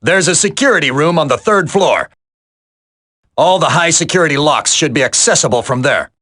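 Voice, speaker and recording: A self-assured tech specialist in a military video game. The voice is bright and crisp-edged with lively swings in pitch. He sounds polite and capable. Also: moderate pace. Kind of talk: dramatic video game voice acting.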